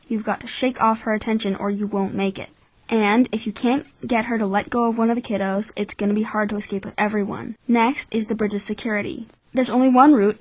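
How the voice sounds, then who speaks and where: A woman's voice: mid-range voice, no echo, lightly acted, poor audio. Cynical, calculating boy, voiced by a woman, dry sound. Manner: measured, blunt, explanatory. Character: cynical, calculating twelve-year-old orphan boy, coolly bitter. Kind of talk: anime-style fan dub acting.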